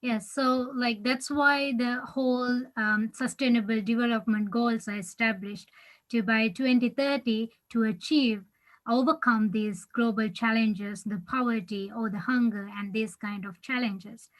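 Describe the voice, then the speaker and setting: Woman running a panel q&a, bit high voice, lots of likes and ums. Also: fairly even intonation, unhurried, rough recording. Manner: loose, many fillers like "like" and "um". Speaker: moderator. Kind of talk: panel discussion.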